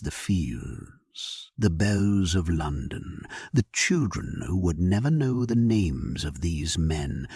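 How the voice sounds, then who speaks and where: A man giving a solemn, measured and theatrical first-person narration of a scripted dramatic sleep story. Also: deep voice, mellow, husky, dry recording, clean studio sound.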